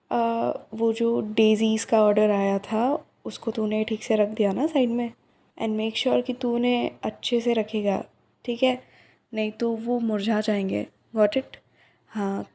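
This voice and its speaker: A young woman acting a caring, chatty, boldly curious flower-shop girl in an ASMR roleplay, speaking softly and unhurriedly and mixing in English words. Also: fairly high pitch, vocal fry. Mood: brisk, friendly. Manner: soft, unhurried, musing, mixes in English words. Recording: roomy, echoing reverb on the voice.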